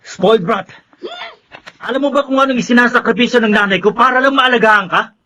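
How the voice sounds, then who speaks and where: An actor playing a protective, resentful young student son with an aggrieved, confrontational manner in a Filipino radio drama. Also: male voice, very high-pitched, brisk, pushed and bright, a little hiss or hum. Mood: indignant.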